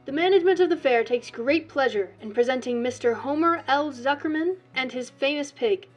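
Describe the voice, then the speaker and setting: A woman voicing a pompous, formal loudspeaker announcer at a country fair, in a storybook reading. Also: high-pitched, expressive, brisk, dull audio, faint music behind, pitched above the performer's own voice.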